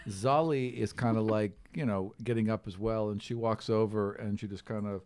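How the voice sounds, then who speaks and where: A man running a tabletop game as game master, narrating casually in halting, conversational descriptions. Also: lowish voice, steady tone, a little mellow, clean tone, no room sound.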